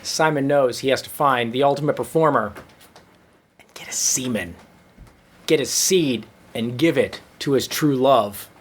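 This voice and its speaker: A man reading a comic story aloud at a live show, with deadpan asides. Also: medium pitch, unhurried. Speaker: comedy troupe storyteller reading own story. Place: live show before a laughing audience.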